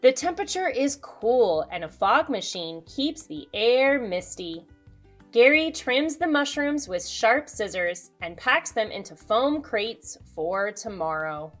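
A woman in her thirties, a children's host reading a picture book aloud with expressive storytelling delivery. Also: a little high, takes it slowly, faint music behind. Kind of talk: storybook read-aloud with asides to young viewers.

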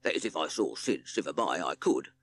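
A man's voice: extremely low pitch, animated, brisk, vocal fry, fairly bright tone, no room sound, exaggerated voice. Man as a hedging, boastful storybook rat. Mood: boastful, hesitant. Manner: hedging, rambling. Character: boastful but hesitant rat, a storybook animal.

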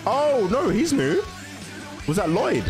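A man's voice: high voice, sing-song, slow, darkish tone. A young man giving casual, slangy reaction commentary, thinking aloud and repeating himself, close on the mic. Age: young adult. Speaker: reaction video host. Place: indoor room. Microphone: large microphone close to mouth. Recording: music and show audio behind.

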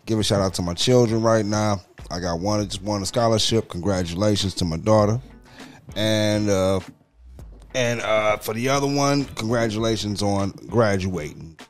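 Man, 40s, very low voice, relaxed podcast co-host at a desk mic. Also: normal speed, soft background music. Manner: laid-back, giving shout-outs. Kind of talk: casual podcast chat. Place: home studio room. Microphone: studio mic on desk stand, headphones.

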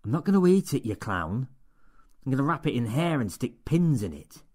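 Sour boss who sneers at his staff, pitched up well above the narrator's own low voice, a male reader doing the voice. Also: very animated, moderate pace, darkish tone. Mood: scheming. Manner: sneering, sarcastic, bossy. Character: sour, contemptuous older male barber-shop boss.

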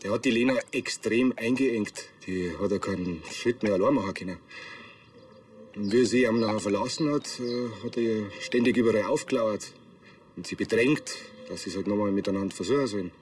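An actor playing an earnest, plain-spoken chimney sweep with a Bavarian accent in a TV crime comedy. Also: male voice, fairly low pitch, fairly quick, a little hiss or hum, close to an everyday voice.

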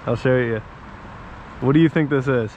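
A man's voice: medium pitch, moderate pace, darkish tone, lo-fi sound, a little hiss or hum. A young man fishing outdoors, chatting playfully and teasing his friend with questions. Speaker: fishing vlogger. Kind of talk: casual outdoor chat. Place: outdoors by a creek.

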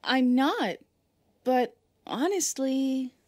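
A woman's voice: high-pitched, expressive, drawn-out words, dry recording, full character voice. An actress playing a flustered young woman, a casual older sister, with hesitant delivery in an audio fan drama.

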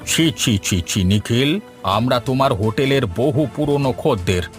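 A man's voice: medium pitch, moderate pace, faint music behind, close to an everyday voice. A man voicing an indignant, let-down elderly restaurant customer in a cartoon. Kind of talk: Bengali cartoon dialogue.

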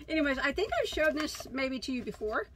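Enthusiastic crochet host, a woman in her thirties from Illinois, fairly high voice, chatty and self-mocking. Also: sing-song, normal speed, a bit bright, slight background noise. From Illinois, USA. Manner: chatty, enthusiastic, laughs at herself. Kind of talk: casual yarn haul chat. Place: craft room with yarn shelves, indoors.

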